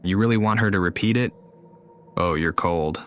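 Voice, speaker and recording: A woman's voice: a bass voice, normal speed, slight background noise. A woman game streamer reading out dialogue and reacting during a playthrough. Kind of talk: game playthrough, voicing lines and commenting.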